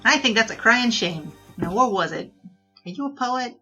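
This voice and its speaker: A woman's voice: on the high side, lively intonation, moderate pace, pushed and bright, lo-fi sound. A woman playing a glib, flirtatious bandit who confesses and argues in a comedic noir audio drama. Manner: glib, digressive, argumentative. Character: brash, chatty, flirtatious female bandit king confessing her crimes. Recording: music bed under the voice.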